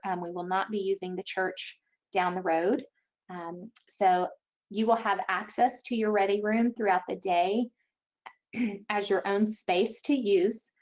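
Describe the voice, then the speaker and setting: A woman's voice: medium pitch, darkish tone. A woman presenting event rules in an informational webinar briefing, speaking somewhat hesitantly and correcting herself as she goes. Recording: dull, lo-fi webinar audio.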